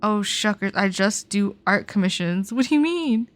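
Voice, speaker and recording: A woman's voice: mid-range voice, expressive, normal speed. A young woman livestreaming a game, chatting casually and reacting in an informal, giggly, sweary way.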